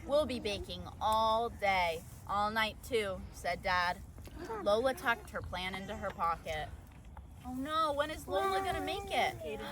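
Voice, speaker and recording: A woman reading a children's story aloud as a volunteer storyteller, expressively acting out the dialogue and sound words, recorded outdoors. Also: high-pitched. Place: outdoors at an orchard event. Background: outdoor ambience and background noise.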